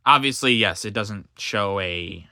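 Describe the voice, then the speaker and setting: A man reading a history book aloud, with halting reading, fillers and self-corrections, stopping now and then for casual comments. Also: lowish voice, bright, forceful tone, clear, resonant tone, dry recording, studio quality. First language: English.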